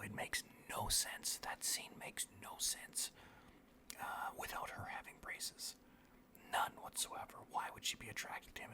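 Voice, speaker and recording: A video host speaking in a breathy whisper, rambling casually through anecdotes with some swearing. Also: sharp tone.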